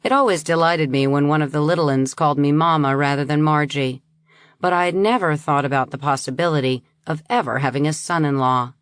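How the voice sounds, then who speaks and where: Low-voiced woman narrator, dry close sound, lively reading of first-person fiction. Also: brisk, smooth, no echo. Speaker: audiobook narrator.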